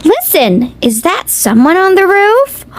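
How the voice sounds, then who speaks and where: Female children's read-aloud host, extremely slow delivery, big lively pitch swings, speaks straight to young listeners. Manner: sing-song, exclamatory, addressing young children directly.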